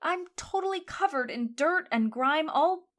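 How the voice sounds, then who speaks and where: A woman's voice: high-pitched, lively intonation, a little slow, fairly bright tone. A woman playing a put-upon, indignant schoolgirl with a plaintive, protesting manner in an audio drama. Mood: hurt indignation. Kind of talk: scripted audio drama dialogue.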